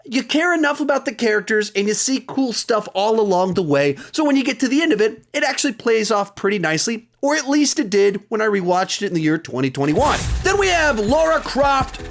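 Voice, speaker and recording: A man's voice: high voice, big pitch swings, fairly quick, gravelly, few pauses. A man in his thirties giving a casual, opinionated ranking of movies as a reviewer and host, speaking candidly.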